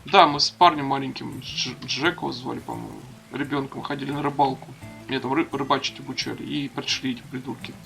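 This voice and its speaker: Gravelly man doing casual game commentary. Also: medium pitch, fairly even intonation, brisk, sharp tone, soft background music. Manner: informal, crude swearing. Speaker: gamer narrating playthrough. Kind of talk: casual let's play commentary, reading subtitles aloud.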